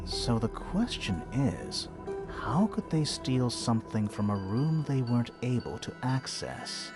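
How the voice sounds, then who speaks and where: A man's voice: mid-range voice, animated, unhurried, music in the background, lightly acted. A man playing an inquisitive detective in a mystery video game, thinking aloud expressively as he reasons through a case. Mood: curious, unsure. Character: inquisitive male detective piecing together a murder mystery. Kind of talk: video game voice acting, conversational deduction.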